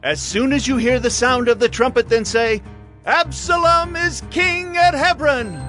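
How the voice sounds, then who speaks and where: An actor playing an ambitious, scheming young prince of ancient Israel in declamatory, theatrical audio Bible drama. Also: male voice, very high-pitched, measured pace, sharp tone, faint music behind, exaggerated voice. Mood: rousing, conspiratorial.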